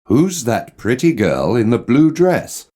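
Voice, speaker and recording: A man reading lines of English course dialogues with expressive, questioning intonation. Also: medium pitch, takes it slowly. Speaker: dialogue reader in a language course. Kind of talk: slow, clearly read textbook dialogue.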